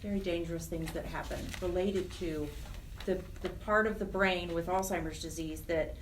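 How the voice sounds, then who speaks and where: A woman's voice: on the low side, steady tone, normal speed. A middle-aged woman speaking conversationally as an interview guest, earnest and often searching for her words. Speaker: interview guest, program director of a nonprofit. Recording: roomy, distant mic, faint noise.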